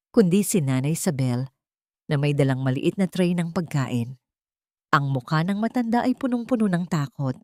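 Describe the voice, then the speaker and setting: A woman narrates a melodramatic audiobook in a low pitch. Her delivery is solemn and suspenseful, and the sound is very dry, with no room around it. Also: darkish tone, no room sound. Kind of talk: dramatic scripted story narration.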